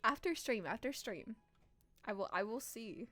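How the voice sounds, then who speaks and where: Expressive woman streaming a visual novel. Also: a little high, normal speed, faint music behind. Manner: playful, expressive. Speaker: game streamer. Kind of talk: live stream chat and read-aloud narration.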